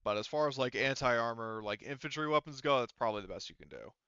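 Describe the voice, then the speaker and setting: A man running a tabletop game as game master, explaining rules casually in a halting, rambling way with self-corrections. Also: medium pitch, expressive, fairly bright tone, smooth, no room sound, dull audio.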